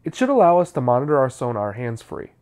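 A man's voice: medium pitch, very animated, fast talker, darkish tone. A man, narrating a how-to video in a scripted, explanatory style.

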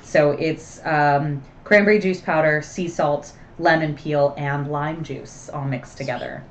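A woman's voice: low voice, measured pace, a little hiss or hum. A woman in her thirties co-hosting a casual livestream chat from a small room, chatty and upbeat. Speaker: co-host.

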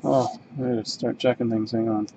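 A man's voice: medium pitch, flat delivery, background noise. A Canadian man hosting a live card break, calling out the cards at his desk in an easygoing, mumbling way. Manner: unhurried, mumbling card names while opening packs. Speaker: box break host. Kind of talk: casual live-stream card break commentary. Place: desk in a home card room.

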